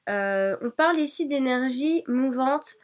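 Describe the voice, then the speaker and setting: A woman's voice: high-pitched, lively intonation, a little slow. A woman with a cold presenting oracle cards, hesitant, with many fillers and restarts. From France. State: has a cold. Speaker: oracle card reader. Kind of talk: casual card presentation.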